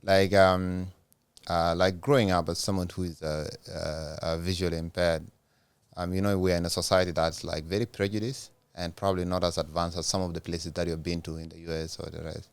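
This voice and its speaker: Deep-voiced hesitant male interviewer. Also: flat delivery, fairly quick, clean tone, no echo. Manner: hesitant, filler-heavy questions. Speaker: host. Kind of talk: interview questions.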